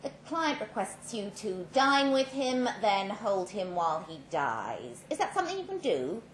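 A woman voicing a brisk, controlled female supervisor in a live story reading, businesslike and coolly matter-of-fact. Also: mid-range voice, big pitch swings. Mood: businesslike briefing. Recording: roomy live venue, background noise.

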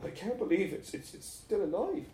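A frightened young man as acted by a male storyteller, squeamish and agitated, stammering as he pleads. The pitch jumps above the reader's usual, and the room rings around the voice. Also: big pitch swings, normal speed, dark tone, distant mic. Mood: shaken, weak. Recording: roomy, echoing sound.

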